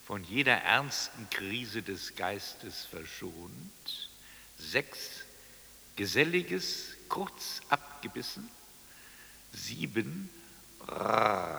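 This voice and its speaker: A man playing a stern, uncanny divine lawgiver in a radio drama, commanding in slow, incantatory exclamations that swing from booming to hushed, with a gravelly, forceful voice in a cavernous reverb. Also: a little hiss or hum, exaggerated voice. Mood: eerie, clipped.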